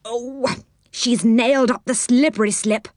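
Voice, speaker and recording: A woman's voice: medium pitch, measured pace, dry recording, clean studio sound, natural voice. A woman voicing a hot-tempered, round-faced moon man in a storybook, exclaiming indignantly. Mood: dismayed.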